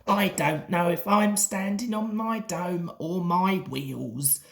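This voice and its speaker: A woman's voice: lowish voice, slow, creaky, a little hiss or hum. A woman voicing a meek, weary little male engine in a storybook, speaking deferentially. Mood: sad, weary.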